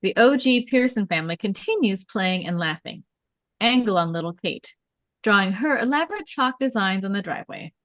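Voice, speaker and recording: A woman's voice: medium pitch, expressive, moderate pace, a bit bright, no room sound, dull audio. A woman reading screenplay stage directions as scripted narration, in short clipped storytelling phrases.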